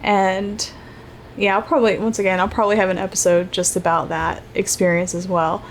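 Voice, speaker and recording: A woman's voice: medium pitch, flat delivery, a little fast. Chatty woman podcasting, faint hiss. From Ohio, United States. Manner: chatty, rambling, many fillers and restarts. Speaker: podcast host. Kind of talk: casual solo podcast monologue.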